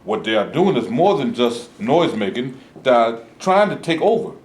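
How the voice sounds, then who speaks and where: A man's voice: mid-range voice, big pitch swings, moderate pace, close to an everyday voice. A man playing a cautious, principled protest movement leader in a staged reading, speaking formally and earnestly. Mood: firm, troubled. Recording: echoey room, live staged reading.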